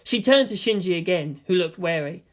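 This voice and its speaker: Expressive woman narrator, lively pitch swings. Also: normal speed. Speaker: fan fiction reader. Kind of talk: dramatic scripted narration with character voices.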